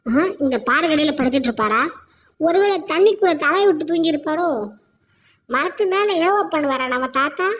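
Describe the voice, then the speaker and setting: A very high-pitched cartoon boy who brags and clowns in mock-heroic movie lines, as a woman voices him. He talks big and playful. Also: lively intonation, a little fast, fairly bright tone. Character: boastful boy sidekick Rolex, clowning hero talk. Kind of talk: Tamil cartoon voice acting.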